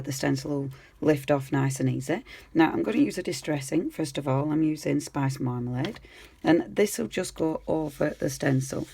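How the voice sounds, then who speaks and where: A woman with a croaky voice, chatting easily through a hands-on craft tutorial as she works. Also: low-pitched, darkish tone. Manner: chatty, easygoing, talks while working. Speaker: craft demonstrator.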